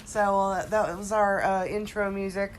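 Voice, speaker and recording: A woman podcast co-host with a throaty voice from a cold, chatting casually and haltingly through a TV recap. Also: mid-range voice, level tone, slight background noise. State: recovering from a cold, slightly congested.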